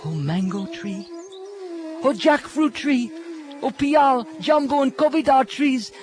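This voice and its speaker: A man's voice: very high-pitched, lively intonation, a little mellow, pitched above the performer's own voice, exaggerated voice. A man voicing distraught, lovelorn cowherd women who plead in exclamations, in a dramatic scripture reading. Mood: imploring. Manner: imploring, exclamatory, drawn-out. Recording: music under the voice.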